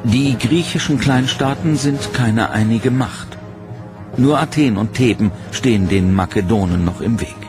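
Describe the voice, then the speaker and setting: A man narrating a history documentary in a measured, dramatic scripted delivery. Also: mid-range voice. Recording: voice-over over loud music. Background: music bed.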